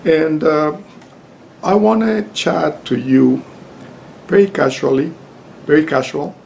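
Older Mexican-accented man, unhurried, gravelly voice, halting webcam lecture from home. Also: a little high, darkish tone, a little hiss or hum. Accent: Mexican Spanish. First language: Spanish. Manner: halting, many fillers and restarts. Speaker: lawyer and lecturer. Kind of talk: informal spoken lecture to camera. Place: home study with bookshelves. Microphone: webcam at desk.

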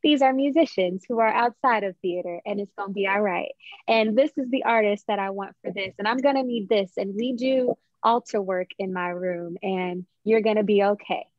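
A woman's voice: medium pitch, normal speed, no room sound. A young woman answering interview questions reflectively, searching for words as she goes. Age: young adult. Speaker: playwright, interview guest. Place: indoors in a room at home. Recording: dull, dry video-call audio.

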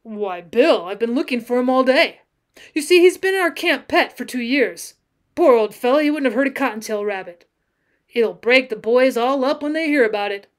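A woman's voice: sits high, animated, normal speed. A woman voicing a young cowboy from a storybook, folksy, earnest and aggrieved as he bluffs. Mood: earnest, bluffing. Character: young cowboy, mock-mournful, earnest, bluffing a tall tale. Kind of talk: acted storybook dialogue.

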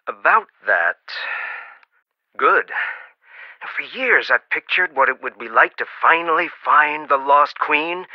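A man's voice: mid-range voice, dry recording, close to an everyday voice. A man voicing an injured male archaeologist in a hospital bed, firm and matter-of-fact, in a video game. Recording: slightly dull, dry studio sound.

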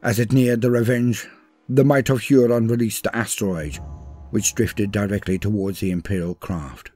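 A man reading a scripted war story as a dramatic narrator, grave and theatrical, in measured phrases. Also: on the low side, steady tone, warm tone. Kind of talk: dramatic scripted lore read-through. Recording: voice-over with music behind. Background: music.

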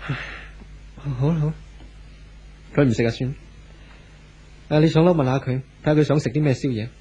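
A devoted, pleading husband in an old radio play. His husky voice is fairly high and moves expressively, on a dull recording. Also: fairly quick, warm tone. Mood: resigned. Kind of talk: 1970s radio drama acting.

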